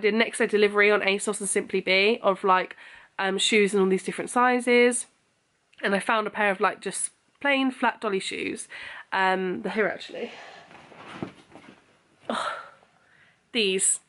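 A woman's voice: mid-range voice, steady tone, measured pace, long pauses, fairly bright tone. A young woman talks straight into a camera held close at arm's length, rambling along with plenty of ums and likes. She sounds a little sniffly, as if still getting over a cold. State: a bit sniffly after losing her voice. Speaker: vlogger. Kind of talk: casual chatty vlog. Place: bedroom and small kitchen at home.

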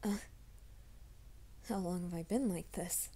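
A woman voicing a troubled young man who hides a werewolf nature, in a soft, hushed ASMR roleplay, often whispering. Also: on the low side, slow, breathy edge, heavily acted. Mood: anxious whisper. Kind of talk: fan audio drama, ASMR roleplay.

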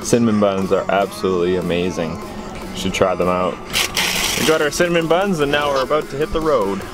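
A young man chatting casually to a handheld camera, relaxed and rambling, with pop music playing behind. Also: on the low side, brisk. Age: young adult. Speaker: car vlogger. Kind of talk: casual vlog chat to camera. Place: inside a car and outdoors in a parking lot. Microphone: handheld camera at arm's length.

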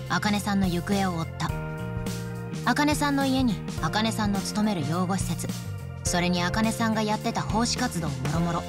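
A woman's voice: medium pitch, close to an everyday voice. An actress playing a confident young woman in a video game, playful, teasing and self-assured as she talks. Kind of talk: Japanese video game dub acting.

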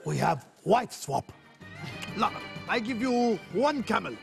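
Smarmy male TV presenter, gravelly, bouncy delivery, as a comic puts him on. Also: high voice, very animated, measured pace, over music, exaggerated voice. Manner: slick announcer patter. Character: smarmy male Middle Eastern TV channel presenter.

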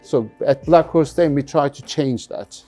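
Mellow middle-aged man, halting delivery. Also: a little high, faint music behind. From London. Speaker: jeans factory founder being interviewed. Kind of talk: thoughtful interview talk.

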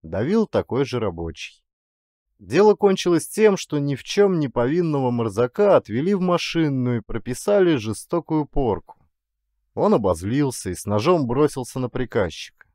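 Expressive male audiobook narrator, lively pitch. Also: moderate pace, no echo, clean studio sound. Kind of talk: expressive literary story narration.

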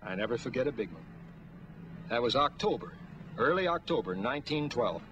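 A man's voice: mid-range voice, animated, brisk, lo-fi sound, natural voice. An actor playing a chatty old fireman, telling stories in a folksy, colloquial way in a 1940s film. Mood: reminiscing. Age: older. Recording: faintly noisy 1940s film soundtrack.

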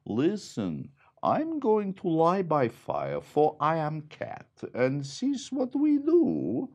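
A man's voice: huge pitch swings, slow, a little mellow, full character voice. A man voicing a haughty, snappish cat that mews and talks in clipped, broken phrases. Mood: smug. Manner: high, mewing, clipped broken speech.

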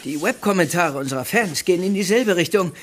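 A woman's voice: lowish voice, animated, brisk. A woman playing an upbeat, witty young girl bandmate in a German audio drama. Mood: businesslike.

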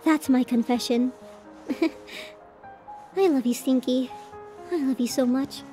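A woman's voice: high-pitched, steady tone, slow, dark tone, soft background music. A woman voicing a bashful, tender tsundere college girlfriend in a romantic ASMR roleplay, flustered and hesitant as she swings between protest and affection. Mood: tender, affectionate.

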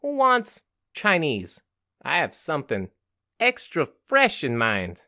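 A restless young male vampire drifter in a visual novel game. He is cocky and sly, teasing in breezy slang. His pitch swings freely, and the recording is close and dry. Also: huge pitch swings, takes it slowly, sharp tone, dry recording, heavily acted. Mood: sly, menacing.

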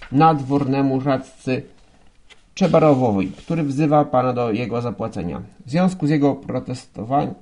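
A condescending office clerk from a novel audiobook, performed by a male narrator. He sounds formal, smug and officious, in a creaky voice set above the usual pitch. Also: a little mellow. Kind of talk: audiobook character acting.

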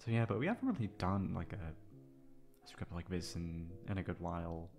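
A man's voice: low-pitched, expressive, moderate pace, music in the background. A man chatting casually and off the cuff, rambling and self-deprecating with some swearing. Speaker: audio roleplay voice actor. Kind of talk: casual unscripted outro chat.

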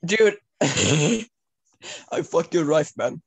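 A man's voice: fairly high pitch, sing-song, drawn-out words, creaky, breathy edge. Crude teenage boy prank caller. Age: teens. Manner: crude, foul-mouthed. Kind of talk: casual prank call banter. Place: small room at home, indoors.

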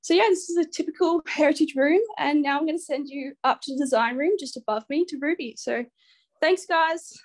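High-pitched young Australian woman. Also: fairly quick, poor audio. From Ballarat, rural Victoria, Australia. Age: young adult. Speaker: student tour guide. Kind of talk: casual chatty room tour. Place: small dorm room and corridor. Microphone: phone at arm's length.

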